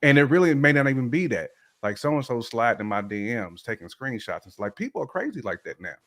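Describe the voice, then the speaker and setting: A man's voice: medium pitch, very animated, brisk. A man chatting casually and colloquially, speaking emphatically and repeating phrases to make his point. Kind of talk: casual chat.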